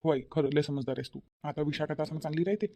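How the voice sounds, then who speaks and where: A woman's voice: deep voice, steady tone, rapid-fire, dark tone. A woman voicing a mild, agreeable village man in a Marathi family cartoon, with a Varhadi accent from Vidarbha. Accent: Varhadi Marathi of Vidarbha, India. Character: mild, agreeable village men, father and grown sons. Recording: slightly dull, lo-fi sound.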